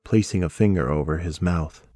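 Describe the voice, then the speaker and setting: A man's voice: deep voice, fairly quick, mellow, no echo. A man reading scripted narration for an audio drama.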